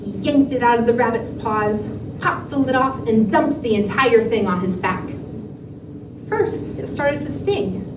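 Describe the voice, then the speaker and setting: A woman's voice: fairly high pitch, animated, measured pace. A woman reading a fairy tale aloud as a storyteller. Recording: echoey, very noisy room, distant mic.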